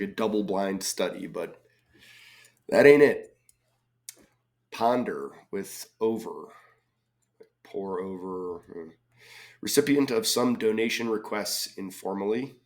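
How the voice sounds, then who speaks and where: A man with a slightly hoarse voice from a cold, casually thinking aloud as he solves a crossword, reading clues and muttering guesses. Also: fairly low pitch, unhurried, pauses often, darkish tone. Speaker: crossword solving video host.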